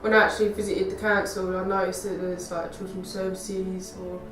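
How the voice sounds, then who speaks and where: A woman's voice: mid-range voice, little pitch movement, normal speed, a bit bright. A nineteen-year-old woman, a youth work apprentice, answering interview questions casually with a lot of filler words. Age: young adult. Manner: casual, lots of "like". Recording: roomy, mic far off, faint music.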